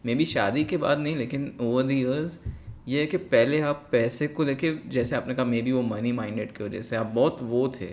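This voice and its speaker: A man's voice: mid-range voice, a little slow, a little mellow, slight background noise. A man hosting a casual conversation, asking questions and murmuring agreement while his guest talks. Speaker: host. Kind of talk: interviewing.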